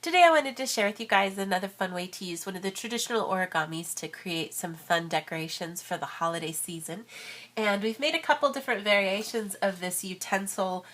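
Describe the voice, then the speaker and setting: A woman's voice: medium pitch, a little fast, fairly bright tone, slight background noise. Chatty woman with a cold. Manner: chatty, friendly, many fillers. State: has a cold. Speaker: origami crafter and channel host. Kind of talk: casual craft tutorial.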